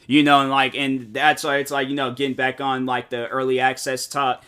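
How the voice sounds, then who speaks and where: Bright-voiced young man podcasting. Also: medium pitch, level tone, moderate pace, smooth. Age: 20s. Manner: rambling, motivational, heavy filler words. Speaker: podcast host. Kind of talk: casual solo podcast monologue.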